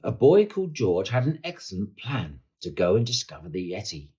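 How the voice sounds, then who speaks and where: A man reading a children's picture book aloud with dramatic, expressive delivery. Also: on the low side, moderate pace, slightly rough. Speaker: storyteller.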